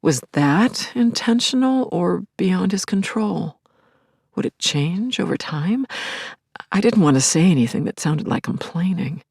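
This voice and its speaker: Husky, creaky-voiced grieving widow. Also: female voice, lowish voice, expressive, a little mellow, dry recording, clean studio sound, full character voice. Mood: wistful, confiding. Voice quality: husky, breathy, often dropping to a whisper. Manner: confiding, halting, emotionally charged. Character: grieving middle-aged widow recalling her returned dead husband, tender, yearning. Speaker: lead actor in an audio play. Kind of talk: intimate first-person audio drama monologue.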